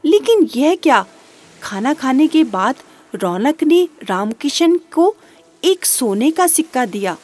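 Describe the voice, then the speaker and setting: A woman with a high voice tells a children's tale. She takes her time, moving slowly through simple narration in the manner of a storyteller. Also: big pitch swings, a little mellow.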